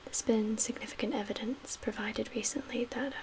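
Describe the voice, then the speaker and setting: A woman playing a calm survivor camp leader in a soft-spoken ASMR roleplay, with a breathy, whispery voice and a gentle, measured, hushed manner. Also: fairly high pitch, close to an everyday voice. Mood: calm, explaining. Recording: clear background ambient noise.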